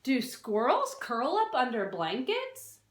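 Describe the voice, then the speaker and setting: Woman, high-pitched voice, very lively intonation, teacherly children's read-aloud, expressive emphasis on key words. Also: takes it slowly, slight background noise. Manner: expressive, questioning, stresses and draws out key words. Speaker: teacher reading a non-fiction book aloud to children. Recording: roomy, echoey sound.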